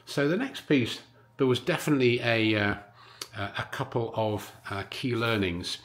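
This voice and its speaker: A man in his fifties hosting a DIY vlog from his garage, explaining things casually with frequent pauses on a lapel mic. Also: medium pitch, a bit bright. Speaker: host of a DIY car-building vlog. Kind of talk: casual instructional talk to camera. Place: home garage. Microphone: clip-on lapel mic.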